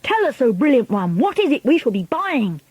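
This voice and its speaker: Witch underlings, acted by a woman: high, excitable, fawning chant, slightly husky, storybook style. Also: normal speed, no room sound, full character voice. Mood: fawning excitement. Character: eager, fawning chorus of witches in audience.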